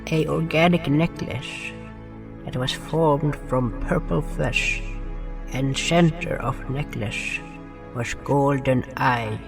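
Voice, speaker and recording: A sorrowful, gentle swamp creature tells a fantasy tale solemnly, voiced by a man. The delivery is very slow and creaky, sounding a bit distant over music. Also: on the high side. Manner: solemn, deliberate. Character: River, gentle sorrowful slimy-skinned one-eyed swamp creature narrator. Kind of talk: scripted first-person fantasy narration and dialogue.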